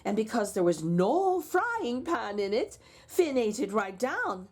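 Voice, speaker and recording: A woman's voice: a little high, sing-song, a little slow, breathy edge, soft background music. A woman storytime host narrating a picture book expressively, with chatty asides to young listeners. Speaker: storytime host reading aloud to children.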